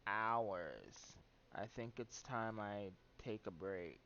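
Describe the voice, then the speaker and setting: A man giving casual, rambling livestream commentary on a game in a halting, loose way. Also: on the low side, sharp tone. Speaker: game streamer. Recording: muffled mic with background noise.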